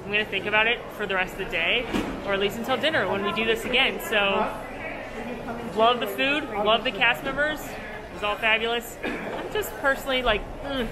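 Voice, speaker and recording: A young woman vlogs casually from a busy buffet restaurant, with plenty of crowd noise around her. Her voice sits a bit high, and she talks in an upbeat, gushing, chatty way about the food. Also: normal speed. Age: young adult. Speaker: food and theme park vlogger. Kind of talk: enthusiastic casual vlog review and food tour. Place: large buffet restaurant, indoors.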